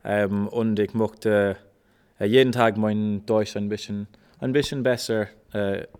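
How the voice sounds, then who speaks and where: A young man is a guest, answering questions in hesitant learner's German. He speaks very slowly and pauses often to search for words. His voice is smooth and fairly low. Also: steady tone, a little mellow. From Ireland. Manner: halting, many fillers, searching for words. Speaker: exchange student guest. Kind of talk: casual interview in German as a learner.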